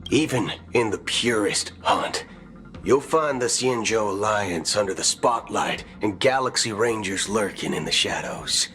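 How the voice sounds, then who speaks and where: An actor playing a folksy, principled space ranger gunslinger in a video game cutscene, drawling theatrically. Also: male voice, fairly low pitch, lively intonation, measured pace, a bit bright. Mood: grave. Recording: music behind the voice.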